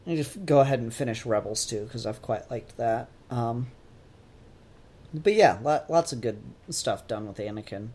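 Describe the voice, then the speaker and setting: A man's voice: mid-range voice, moderate pace, darkish tone. A man talking casually as a podcast host, opinionated and emphatic, swearing freely. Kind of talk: casual pop-culture podcast discussion.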